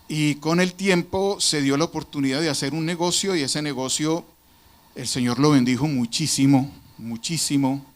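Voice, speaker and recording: A man's voice: on the high side, moderate pace. A man from Colombia, giving an earnest, reflective personal testimony to a church congregation.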